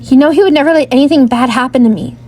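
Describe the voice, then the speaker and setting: A woman's voice: sits high, very fast, darkish tone, soft background music. A woman playing an earnest, slightly unsure young female bard in an audio drama, speaking conversationally. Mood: hopeful, unsure. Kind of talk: fan audio drama acting.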